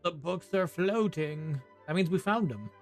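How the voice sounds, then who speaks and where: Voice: medium pitch, expressive, a little slow, darkish tone, soft background music. A streamer giving casual gameplay commentary and reading bits of the game text aloud.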